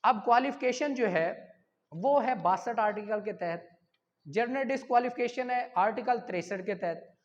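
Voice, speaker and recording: A woman's voice: fairly high pitch, normal speed. Muffled, echoing Pakistani woman tutor. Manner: didactic, poses questions then answers them, repeats key points. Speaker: law exam tutor. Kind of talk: lecture, reading questions and explaining answers. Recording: muffled lo-fi sound, long hall-like reverb.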